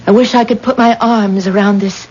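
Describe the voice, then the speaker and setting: A woman's voice: medium pitch, steady tone, moderate pace, darkish tone, slightly rough, lightly acted. An actress playing a sorrowful, devout young woman in love in a 1970s American radio drama, tender and wistful. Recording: dull, faintly noisy 1970s radio recording.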